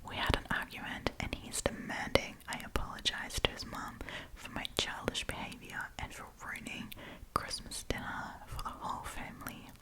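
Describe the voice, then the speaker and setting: A woman hosting an ASMR storytime, reading and chatting in a soft, breathy whisper with a gossipy, friendly manner. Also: moderate pace, rough recording. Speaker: host of a storytime channel.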